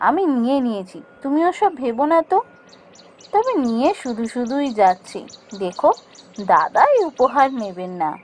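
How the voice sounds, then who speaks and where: Woman playing a high-voiced, sensible village wife. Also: a little mellow. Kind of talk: cartoon voice acting.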